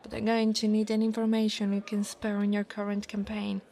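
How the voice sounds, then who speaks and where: A woman's voice: mid-range voice, completely flat delivery, dark tone, lightly acted. A woman playing a big, domineering but insecure dragon college bully in an ASMR roleplay, with a teasing, haughty manner. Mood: grudging, proud. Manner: teasing, haughty, drawn-out delivery with breathy asides.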